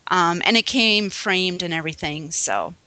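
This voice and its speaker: Chatty woman, thirties, bright and dry, giggly podcast co-host. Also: on the low side, moderate pace, no room sound. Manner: chatty and giggly, many fillers. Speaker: podcast co-host showing her collection. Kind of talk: casual chat.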